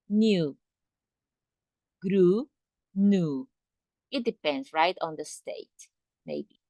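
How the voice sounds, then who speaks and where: Slow, patient woman English teacher. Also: mid-range voice, darkish tone, dry recording, clean studio sound. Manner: patient, repeats words for pronunciation; asks students direct questions. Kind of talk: online English class.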